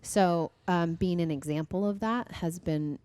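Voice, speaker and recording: Earnest woman guest, low and dry. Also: measured pace, clear, resonant tone, no echo. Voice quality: loud voice. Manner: earnest, reflective, frequent ums and soft laughs. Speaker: podcast guest, co-founder. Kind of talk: casual interview conversation.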